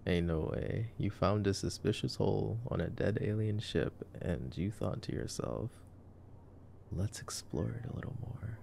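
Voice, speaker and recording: A young man doing an ASMR boyfriend roleplay as casual movie commentary, talking in a sarcastic, slangy, low-key way. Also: measured pace, gravelly, darkish tone, a little hiss or hum.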